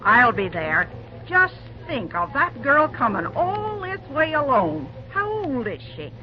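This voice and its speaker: An actress playing a kindly, brisk woman who runs a railroad diner, with homely exclamations and a motherly manner, in 1940s radio acting. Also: high-pitched, sing-song, full character voice. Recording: dull old radio recording with hiss.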